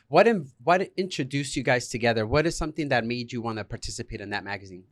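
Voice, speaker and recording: A man co-hosting a podcast, opening the show in a brisk, upbeat way. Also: medium pitch, lively intonation. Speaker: podcast co-host.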